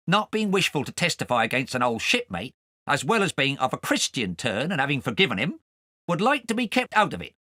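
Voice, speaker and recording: A man's voice: on the high side, sharp tone, no room sound, clean studio sound. A man voicing a self-righteous, rambling sailor with a grudge, telling his confession in an audiobook. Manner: sanctimonious, aggrieved, rambling. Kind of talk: audiobook character narration.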